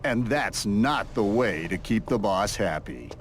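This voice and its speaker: An actor playing a narrator of a reality show in a video game, delivering scripted, dramatic story links. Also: male voice, on the low side, lively intonation, unhurried, soft background music. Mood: teasing drama.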